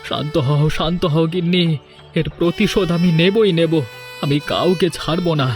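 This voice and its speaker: A man's voice: on the high side, moderate pace, soft background music, natural voice. A man playing a grieving, vengeful village father in a cartoon fairy tale, emphatic and melodramatic. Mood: anguished.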